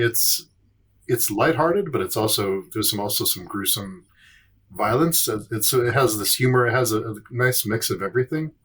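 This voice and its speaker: Man, lowish voice, slightly raspy, podcast host, casual, halting delivery. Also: fairly bright tone. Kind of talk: casual chat.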